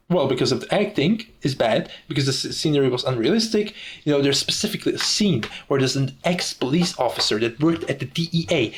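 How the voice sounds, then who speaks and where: Young czech guy, english not his first language, swears a lot while ranting, kinda high voice. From Czech Republic. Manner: profane, ranting, laughs often. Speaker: YouTuber. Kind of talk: casual monologue to camera.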